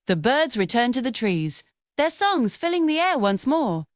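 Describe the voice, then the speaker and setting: A woman narrating a scripted children's bedtime story in an expressive, dramatic storytelling manner. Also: fairly high pitch, a little slow, no room sound, clean studio sound.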